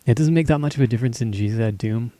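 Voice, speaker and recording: A man streaming live while he builds a game map, talking casually in halting, stop-start phrases as his voice weakens. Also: medium pitch, mellow, dry recording.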